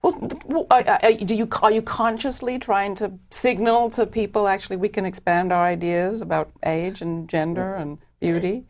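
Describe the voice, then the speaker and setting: A woman's voice: mid-range voice, fairly even intonation, moderate pace, hardly any pauses. A woman hosting an interview, putting questions and comments to a guest in a conversational style. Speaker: interviewer and host. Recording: dull, lo-fi interview audio.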